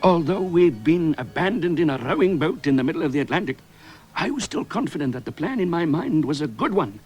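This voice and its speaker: A man's voice: fairly high pitch, lively intonation, fairly quick, darkish tone, soft background music, close to an everyday voice. A man playing an earnest, self-important adventurer, narrating his exploits in mock-dramatic radio comedy. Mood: resolute, swelling.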